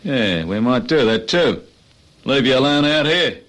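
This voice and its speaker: An actor playing a bossy, scornful Australian leader of a train robbery gang in a radio drama. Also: male voice, mid-range voice, lively intonation, measured pace, a bit bright. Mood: scornful, menacing.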